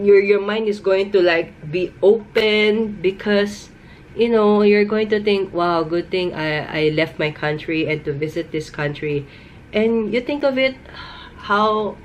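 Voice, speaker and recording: A woman in her thirties from the Philippines with a Filipino accent, giving casual, emphatic advice in a vlog and repeating words for stress. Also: on the low side. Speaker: vlogger. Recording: noticeable background noise.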